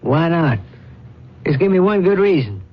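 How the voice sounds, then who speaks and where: A man playing a blunt, commanding older industrialist father in a radio drama, talking in brisk, clipped, forceful phrases. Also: fairly high pitch, very animated, breathy edge. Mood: stern. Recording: dull vintage radio broadcast recording.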